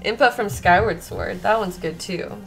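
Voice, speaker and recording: A woman's voice: mid-range voice, normal speed, vocal fry, a bit bright, rough recording. A young woman chatting casually to viewers as a livestream host, playful and self-deprecating, with game music playing behind her. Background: video game remix music.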